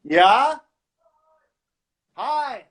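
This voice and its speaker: A man's voice: very high voice, animated, very slow, a bit bright. A middle-aged man from Los Angeles, a designer chatting playfully from his home and laughing often. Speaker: fashion designer guest. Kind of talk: casual video-call chat.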